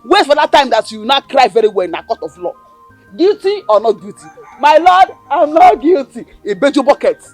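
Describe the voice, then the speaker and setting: A woman's voice: high voice, sing-song, fairly quick, faint music behind. An actress playing a scornful older sister who bullies and accuses her sibling. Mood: scolding. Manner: taunting, shouting insults.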